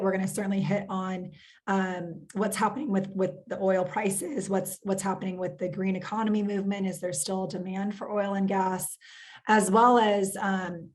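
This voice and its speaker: Woman, losing her voice, flat intonation, financial planner, video call, measured with fillers. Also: mid-range voice. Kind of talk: webinar presentation.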